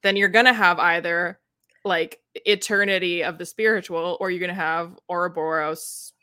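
A woman with a somewhat low voice speaks in a dry, echo-free recording. She co-hosts a relaxed book discussion, reasoning things out conversationally with a wry edge. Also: moderate pace, clear, resonant tone. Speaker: podcast co-host.

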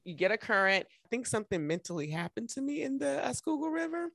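A woman with an American accent hosting a casual interview in an animated, encouraging, emphatic way. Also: on the high side, quick pace, gravelly, dry recording, clean studio sound. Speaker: podcast host.

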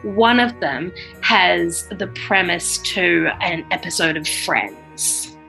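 A woman improvising descriptive narration with hesitant, halting phrasing. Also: on the low side, expressive, creaky, bright, forceful tone, soft background music, rough recording. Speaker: improv comedy podcast performer.

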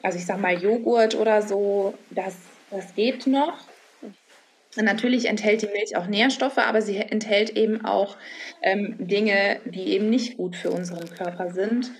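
A woman's voice: mid-range voice, fairly even intonation, measured pace. A woman with a mid-range voice gives an expert's explanation in an interview. Her talk is unscripted and explanatory. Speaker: nutrition adviser being interviewed.

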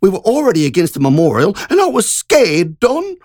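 A woman's voice: mid-range voice, wildly swooping pitch, fairly quick, darkish tone, dry recording, clean studio sound, pitched above the performer's own voice. A reader doing Margie, an urgent country woman. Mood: frightened. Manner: breathless, urgent storytelling. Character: shaken older country woman telling a story.

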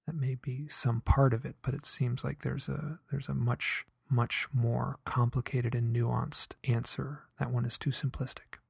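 Brief: earnest soft-spoken man, gravelly husky tone, dry close sound, musing monologue. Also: medium pitch, flat delivery, a little slow, few pauses, dry recording. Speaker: podcaster recounting a hypnosis session. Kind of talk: reflective personal monologue.

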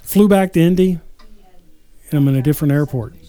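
A middle-aged man recounts a mishap in relaxed chat. His voice is mellow and slightly high, with a plainspoken, exasperated tone. The sound is close and dry. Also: fairly quick, dry recording. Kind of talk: casual chat and anecdote.

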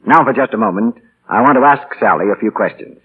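A man's voice: mid-range voice, lively intonation, fast, husky. A man working as a 1940s radio announcer, delivering chatty, polished scripted sales patter. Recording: scratchy, tinny 1940 radio broadcast.

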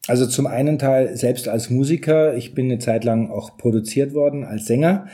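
A man's voice: medium pitch, normal speed, mellow. A middle-aged man answering interview questions as a studio owner, chatty and explanatory with a joking streak. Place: home recording studio indoors.

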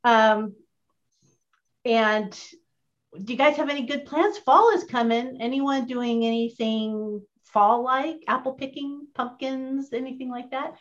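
A woman's voice: a little high, measured pace. Chatty woman hosting an online session. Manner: upbeat, chatty, asks the audience questions. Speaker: host of an online training chat. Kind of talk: casual webinar hosting. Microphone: video call. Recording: muffled lo-fi call audio.

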